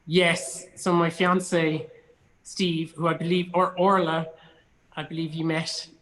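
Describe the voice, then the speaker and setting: A man's voice: fairly high pitch, flat delivery, takes it slowly, fairly bright tone, poor audio. Chatty, enthusiastic Irish man. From Cork, Ireland. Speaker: actor and theatre company artistic director being interviewed. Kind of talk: casual chat.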